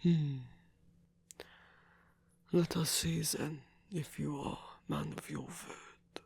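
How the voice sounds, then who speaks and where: ASMR story, man acting protective guardian, stern, formal, very husky, slow-spoken. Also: a little high, steady tone, a little mellow, full character voice. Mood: softening, wry. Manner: measured, formal, deliberate. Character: stern, protective male guardian of a young vampire.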